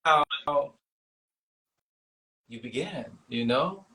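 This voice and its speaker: A man in casual, reflective conversation, speaking appreciatively in halting, broken phrases. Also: medium pitch, lively intonation, bright, forceful tone, poor audio.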